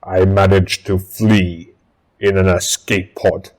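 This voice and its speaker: A man's voice: deep voice, level tone, a little mellow. A man playing a vengeful, boastful alien military commander in an audio drama, speaking with pompous menace. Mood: gloating menace. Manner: slow, menacing, pompous delivery.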